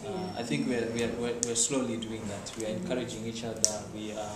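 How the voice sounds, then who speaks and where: A man's voice: fairly low pitch, flat delivery, quick pace. A man speaking on a writers' panel, earnest and often repeating words as he goes. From Zimbabwe. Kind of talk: panel discussion. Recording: echoey room, far from mic, loud noise.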